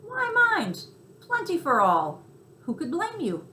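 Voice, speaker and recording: A woman voicing an excitable, generous male mouse for a children's story, speaking in short, eager, exclamatory bursts. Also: high voice, slow pace, roomy sound, a little hiss or hum, pitched above the performer's own voice, heavily acted. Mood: insistent.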